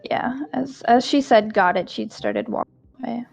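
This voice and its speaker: A woman's voice: a little high, steady tone, moderate pace, gravelly, darkish tone. A woman player describing her character's actions casually, with many fillers. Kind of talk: casual tabletop narration. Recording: dull lo-fi sound.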